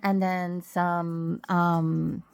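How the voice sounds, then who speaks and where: A woman recovering from a cold, sniffly, telling travel testimonies in a halting, casual way with many fillers and self-corrections. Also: fairly low pitch, little pitch movement, gravelly, clean tone. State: getting over a cold, sniffling. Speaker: vlogger sharing travel testimonies.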